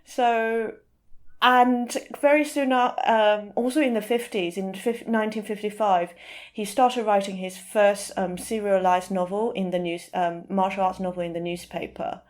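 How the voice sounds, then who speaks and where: A woman speaking as an interview guest, explaining things informally and sometimes pausing to search for the right word. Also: medium pitch, steady tone, a little mellow.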